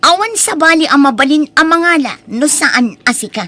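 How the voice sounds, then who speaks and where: A woman's voice: high voice, animated, normal speed, sharp tone, soft background music. A woman with an Ilocano Filipino accent playing a stern, nagging wife in an audio drama, scolding and emphatic. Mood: angry, accusing. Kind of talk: radio-style audio drama acting.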